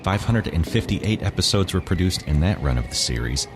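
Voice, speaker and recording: A man's voice: low-pitched, expressive, fairly quick. A man hosting a podcast, giving scripted introductions to old radio shows. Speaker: podcast host. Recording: clear background noise under the voice.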